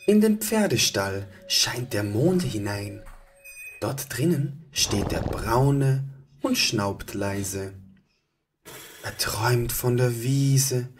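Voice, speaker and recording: A man narrating a children's story as storyteller, in a hushed, expressive manner. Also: mid-range voice, a little hiss or hum.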